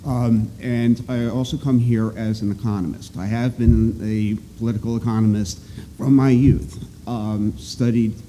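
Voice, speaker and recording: A man giving halting, opinionated, emphatic testimony off the cuff at a podium microphone in an auditorium. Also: lowish voice, fairly even intonation, warm tone, rough recording. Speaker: resident and economist giving public testimony. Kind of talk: halting off-the-cuff public speech. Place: large auditorium. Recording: clear background noise in auditorium.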